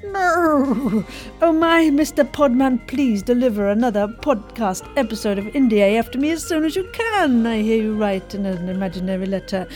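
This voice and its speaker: A woman with a fairly high voice hosts a chatty podcast. She is effusive and playful, with a theatrical flair to how she talks. Faint music sits behind her voice. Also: expressive, normal speed, darkish tone. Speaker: podcast host and interviewer. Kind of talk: enthusiastic casual podcast chat.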